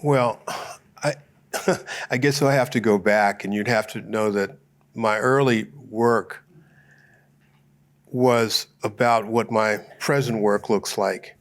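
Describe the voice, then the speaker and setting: A man's voice: lowish voice, steady tone, slow pace. An older American man, a photographer and professor, reflectively telling the story of his work in a public museum conversation. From United States.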